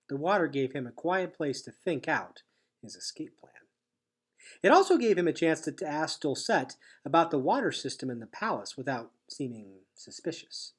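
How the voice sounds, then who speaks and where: Husky man reading a storybook aloud. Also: a little high, fairly bright tone. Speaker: teacher reading a chapter aloud. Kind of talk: read-aloud storybook narration.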